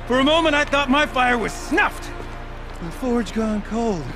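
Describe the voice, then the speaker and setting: Theatrical, excitable, high-pitched old eccentric. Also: male voice, slow pace, music in the background, heavily acted. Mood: giddy relief. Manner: theatrical, wordy, grandiloquent. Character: eccentric, excitable older man, rambling self-taught tinkerer. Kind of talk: video game character acting.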